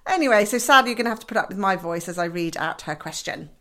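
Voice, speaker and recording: A woman co-hosting a home design podcast, chatting casually and lively. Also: medium pitch, quick pace. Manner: lively, laughs easily, chips in. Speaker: podcast co-host. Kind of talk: casual chat.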